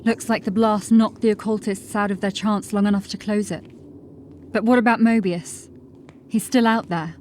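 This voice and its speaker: A woman's voice: medium pitch, steady tone, normal speed, slight background noise, lightly acted. An actress playing a practical, matter-of-fact female watch corporal in a fantasy audio drama. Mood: concerned, businesslike. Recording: loud music over the voice. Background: loud music.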